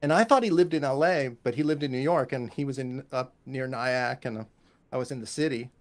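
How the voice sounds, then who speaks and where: A man speaking casually as a guest composer and teacher, telling animated anecdotes and acting out what people said. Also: medium pitch, a little fast. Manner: animated anecdotes, quotes others and sings musical examples. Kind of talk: casual interview storytelling.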